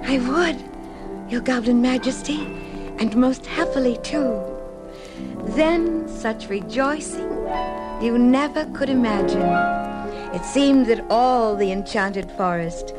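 A woman performing a gentle, modest fairy-tale maiden and storyteller in a formal, lilting old-time radio style. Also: fairly high pitch, slow pace. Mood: joyful, tender. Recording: old radio recording under loud music.

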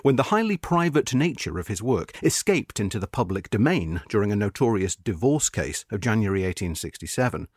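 A man reading a history article as scripted narration, in a measured, formal, carefully phrased way. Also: lowish voice, very animated, no echo, studio quality.

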